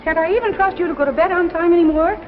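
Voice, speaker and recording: A muffled old broadcast carries a devoted, anxious daughter. Her high voice is urgent and insistent, acted in the manner of 1950s radio drama. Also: rapid-fire. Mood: exasperated, alarmed. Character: worried, exasperated grown daughter, devoted to her father.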